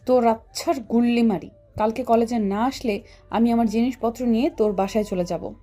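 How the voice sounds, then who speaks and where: A woman's voice: fairly high pitch, steady tone, moderate pace, warm tone, close to an everyday voice. A woman playing a bossy, hot-tempered, romantic college girl in an audio love story. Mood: scolding, demanding. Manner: demanding, scolding, pleading. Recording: music bed under the voice.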